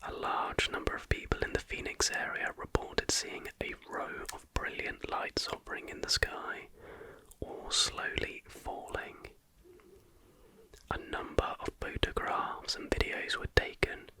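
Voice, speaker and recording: An ASMR host reading a scripted account in a whisper, with unhurried, deliberate phrasing. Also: rough recording.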